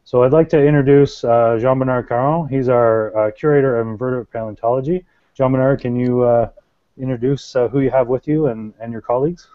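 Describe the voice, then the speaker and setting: A man hosts a live video hangout from an office, pausing often with fillers and restarting words. His voice sits in a middle pitch, and the sound is dull and lo-fi, like an online call. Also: fairly even intonation, no echo. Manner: hesitant, many fillers and restarts. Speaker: museum social media coordinator, host. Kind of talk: casual live video chat hosting. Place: office at a museum.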